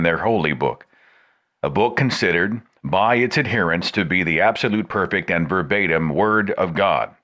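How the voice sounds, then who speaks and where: A man hosting a talk podcast, reading a scripted introduction and then interviewing a caller with emphatic, expressive delivery. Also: sits low. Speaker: podcast host.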